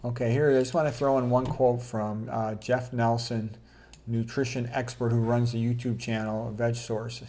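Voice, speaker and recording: A man's voice: mid-range voice, level tone, normal speed, warm tone, slight background noise. A man lecturing informally as a doctor, reading out quotes and adding blunt, opinionated, colloquial commentary. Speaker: doctor presenting a health lecture.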